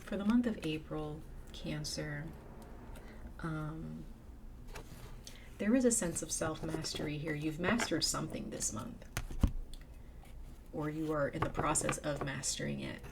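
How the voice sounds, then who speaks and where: A woman's voice: sits low, measured pace, gravelly, long pauses, very noisy. A woman giving a casual tarot reading, slightly nasal as she gets over a cold, chatty and sometimes dropping to a whisper. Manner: chatty, drops to a whisper in invocations. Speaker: tarot reader.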